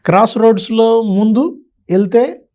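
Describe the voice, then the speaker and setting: A man's voice: high voice, measured pace, mellow. A man giving unscripted political commentary, full of sarcastic, colloquial rhetorical questions. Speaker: political commentator, interview guest.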